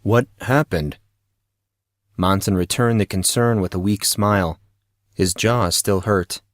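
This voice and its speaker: Male narrator for audio fiction: deep register, scripted storytelling, very dry sound. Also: fairly even intonation, measured pace, no echo.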